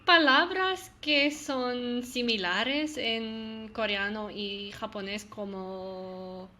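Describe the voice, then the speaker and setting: Bright, slow-talking woman on a video call. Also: a little high. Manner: hesitant, searching for words, laughs often. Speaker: host. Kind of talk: casual multilingual chat.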